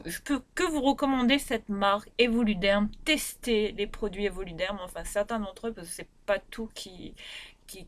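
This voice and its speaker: Chatty woman with a Réunion Creole accent. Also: medium pitch. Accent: Réunion Creole French. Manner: chatty, rambling, many fillers and restarts. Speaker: beauty vlogger. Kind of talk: casual product review. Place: her bedroom.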